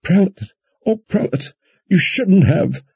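A man's voice: lively intonation, slow, warm tone, husky, no echo, exaggerated voice. A man voicing a fretful, protective woman healer in an audiobook fantasy story, anxious and rambling. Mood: frightened, crying out. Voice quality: shrill.

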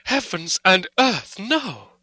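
A man performs a young English nobleman from a storybook, anguished and proud. The voice is raised well above his narration, very bright and tense, exclaiming with passionate indignation in a dry recording. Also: high voice, very animated, slow pace, no room sound, voice pushed up, full character voice. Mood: stormy indignation. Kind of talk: dramatic audiobook character reading.

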